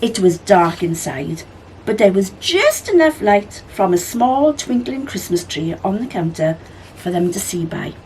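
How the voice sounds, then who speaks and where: A woman narrates a children's story in an expressive, animated way. Her medium-pitched voice rises and falls a great deal, with faint background noise. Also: a little slow, low-quality recording. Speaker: library storyteller.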